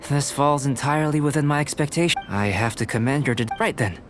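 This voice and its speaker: A composed young male scholar, acted by a man for a video game, speaks in formal, clinical phrases. His courteous, analytical delivery sits at a middling pitch. Game music plays clearly behind him. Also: a little fast, natural voice. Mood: calm, analytical. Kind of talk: scripted video game voice acting.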